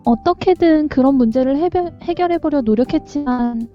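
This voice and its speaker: A woman's voice: high-pitched, normal speed. A woman reading a visual novel heroine's dialogue aloud on a game stream. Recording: dull lo-fi voice chat with music.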